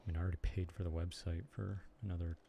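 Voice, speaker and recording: A young man with a Chicago accent, chatting casually and haltingly to viewers on a live stream from his computer desk. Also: sits low, flat delivery, vocal fry, dark tone, slight background noise. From Chicago area, United States. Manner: rambling, halting, lots of ums and restarts. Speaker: streamer and blogger.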